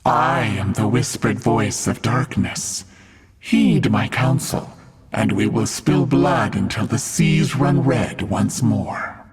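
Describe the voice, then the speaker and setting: A woman voicing a malevolent female demon who commands the undead in a video game, menacing and imperious, with a raspy voice. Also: a bass voice, slow pace, darkish tone, faint music behind, full character voice. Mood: sinister, seductive. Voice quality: raspy, husky. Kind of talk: scripted villain game narration. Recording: long cavernous reverb.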